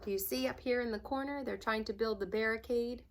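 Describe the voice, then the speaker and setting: A woman's voice: fairly high pitch, brisk. A woman reading a children's storybook aloud like a teacher, with dramatic emphasis and asides to young listeners.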